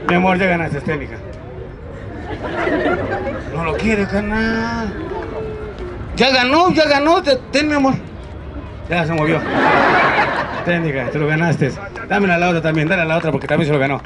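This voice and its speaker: High-pitched Mexican street clown, male. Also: lively intonation, moderate pace, long pauses. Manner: teasing, cheeky. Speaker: street clown comedian hosting a live show. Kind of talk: improvised street comedy, crowd banter. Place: outdoor public park in a city. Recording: outdoor urban noise, crowd around.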